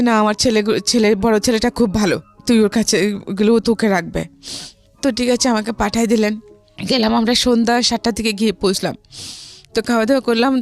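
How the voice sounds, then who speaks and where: A woman with a Bangladeshi accent, a guest recounting her own life story in conversation. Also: on the high side.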